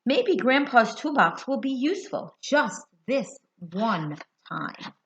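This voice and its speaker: Female storyteller, American, for a kids' read-aloud: very slow, clearly expressive delivery, bright tone, asks the listeners questions. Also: on the high side. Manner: expressive, deliberate, addresses young listeners with questions. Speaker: children's hospital educator reading a picture book. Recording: dull lo-fi sound.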